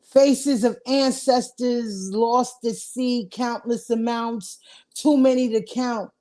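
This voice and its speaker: Woman poet performing spoken word; high pitch, slow deliberate pacing, rising passion. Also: steady tone, dark tone. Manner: slow, deliberate delivery building to impassioned cries.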